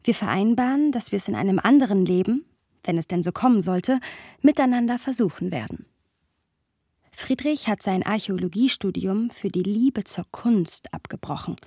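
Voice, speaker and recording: Wry young female narrator for radio drama. Husky, intimate, playful, dry studio sound. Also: medium pitch, expressive, moderate pace, dark tone, dry recording. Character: wry, playful young woman narrating memories of her friend. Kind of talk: radio play narration and dialogue.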